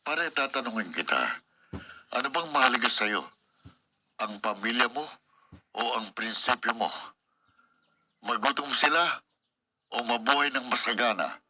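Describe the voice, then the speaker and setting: Goading corrupt Filipino cop, noisy recording. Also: male voice, mid-range voice, expressive, unhurried, long pauses, sharp tone. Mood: persuasive, cynical. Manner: persuasive, goading, cynical. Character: corrupt, worldly male police buddy urging bribe-taking. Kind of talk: Filipino radio drama acting. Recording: noisy radio broadcast sound.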